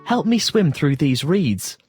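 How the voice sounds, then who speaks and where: Guy doing a little sad duckling for a kids storybook, high and expressive, polite, music behind. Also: slow pace, husky, dry recording, clean studio sound, full character voice. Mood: hopeful. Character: young ugly duckling, sad, polite, grateful. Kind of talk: children's storybook app voice acting.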